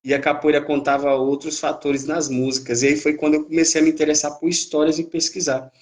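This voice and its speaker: A man in his thirties from Brazil, telling his story as a capoeira instructor on a video call, pausing and restarting often. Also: on the high side, little pitch movement. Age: 30s. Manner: hesitant, many fillers and restarts, uneven pace. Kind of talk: live-stream interview.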